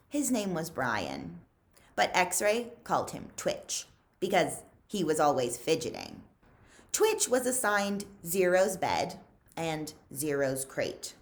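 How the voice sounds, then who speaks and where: A woman reading a children's novel aloud as a librarian would, with measured, dramatic emphasis. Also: on the low side. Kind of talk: expressive storybook read-aloud.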